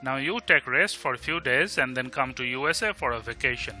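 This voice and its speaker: Man acting a very brisk, bright Bengali friend. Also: medium pitch, soft background music. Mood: encouraging. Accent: Indian Bengali. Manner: switches between English and Bengali. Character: supportive, joking longtime bachelor friend. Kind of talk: bilingual audio drama acting.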